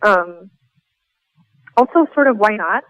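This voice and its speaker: A woman's voice: mid-range voice, expressive, takes it slowly, fairly bright tone, no room sound, muffled recording. A woman speaking casually as a playwright being interviewed.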